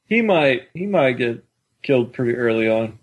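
A man's voice: mid-range voice, lively intonation, normal speed, narrow, tinny audio. A man co-hosting a podcast, chatting casually as a fan about a story. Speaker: podcast co-host.

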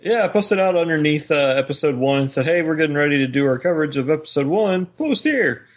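A man's voice: fairly high pitch, animated, quick pace. A man talking casually as a podcast co-host, with an informal, easygoing manner.

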